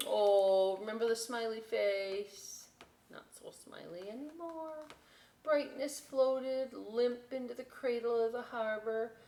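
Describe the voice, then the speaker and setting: A woman hosting a children's storytime, reading and chatting informally in an expressive, emphatic way. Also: fairly high pitch. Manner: expressive, emphatic, unhurried delivery. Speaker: library storytime host. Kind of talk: children's read-aloud with informal asides. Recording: echoey room.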